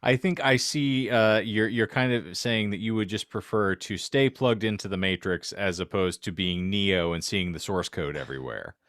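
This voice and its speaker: A man with a low-pitched voice hosts a casual podcast panel. His phrasing is formal and elaborate, and the sound is close and dry. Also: moderate pace, hardly any pauses, a bit bright, no room sound. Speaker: podcast host.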